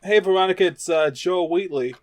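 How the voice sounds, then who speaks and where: A man plays an unlearned, informal sidekick to a private eye in a tabletop game. His voice sits slightly high and is recorded dry. He talks haltingly, feeling his way through sentences. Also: no room sound, close to an everyday voice. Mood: tentative, friendly. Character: rough-edged, unlearned, halting male investigator's partner.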